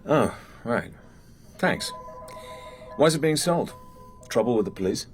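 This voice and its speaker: An actor playing a suave, world-weary British secret agent in a radio drama, with an English accent and a clipped, dry, understated delivery. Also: male voice, mid-range voice, animated, a little slow, soft background music. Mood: casual, charming.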